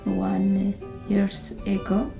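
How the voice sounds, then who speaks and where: Slow, gravelly woman from Ethiopia. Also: mid-range voice, monotone, roomy sound. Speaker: clinic patient, mother. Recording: music bed, far from mic.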